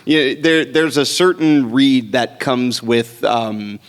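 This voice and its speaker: A man speaking at a slow, unhurried pace on a convention panel, rambling with fillers and restarts. His voice carries a long reverb from a large convention hall. He sounds like a voice actor chatting casually with fans. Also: medium pitch, steady tone, smooth. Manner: rambling, many fillers and restarts, chuckles often.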